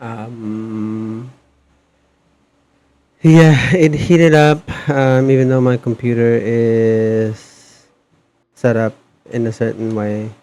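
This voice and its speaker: A man streaming a video game in casual chat. He talks slowly in a fairly average-pitched voice, with hesitant pauses and a habit of muttering to himself as he goes. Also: steady tone, darkish tone. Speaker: streamer hosting a gaming channel.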